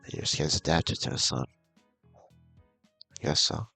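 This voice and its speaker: A man with a gravelly, husky voice casually reading a visual novel aloud, halting and mumbling with offhand asides. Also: very deep voice, big pitch swings, sharp tone, soft background music. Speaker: video game streamer.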